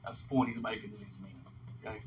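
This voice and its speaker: A man's voice: fairly low pitch, flat delivery, quick pace. Man teaching, dull lo-fi recording. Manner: explanatory, often checks in with a short "okay?". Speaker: Bible teacher. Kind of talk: informal Bible lesson, reading scripture aloud and explaining. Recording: dull lo-fi sound, faint background noise.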